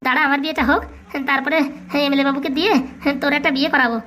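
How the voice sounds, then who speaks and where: High-voiced, fretful young bridegroom played by a woman. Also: steady tone, very fast, sharp tone, a little hiss or hum. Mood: anxious. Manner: modest, fretful. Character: anxious, modest young man about to marry. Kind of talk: comic cartoon dialogue.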